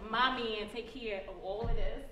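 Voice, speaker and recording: A woman's voice: fairly high pitch, steady tone, moderate pace, distant mic, natural voice. A young woman playing a blunt, boastful, sassy sister in a stage play. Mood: brash. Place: indoor theater stage living-room set. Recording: noisy, echoey live theater stage.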